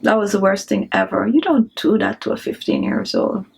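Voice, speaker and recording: A woman's voice: medium pitch, wildly swooping pitch, normal speed, vocal fry, a little hiss or hum. A woman in her thirties from Jamaica with a strong Jamaican accent, chatting casually to camera and laughing often. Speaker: beauty vlogger. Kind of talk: casual vlog chat.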